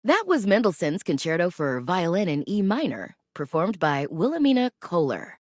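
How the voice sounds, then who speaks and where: A woman playing a poised, courteous classical public radio host in a video game, making measured, refined scripted announcements. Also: on the low side, very animated, a little mellow, no echo, clean studio sound. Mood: poised, informative.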